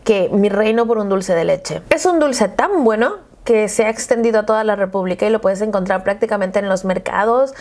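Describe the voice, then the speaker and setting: A young woman with a Mexican Spanish accent chatting casually to camera as a video blogger, expressive, gushing and emphatic. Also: medium pitch, moderate pace, few pauses. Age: young adult. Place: small furnished room at home with bookshelves.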